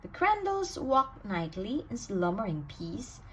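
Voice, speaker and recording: A woman reading a rhyming bedtime storybook aloud in an expressive, sing-song way. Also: medium pitch, measured pace, a little hiss or hum. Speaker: storybook reader.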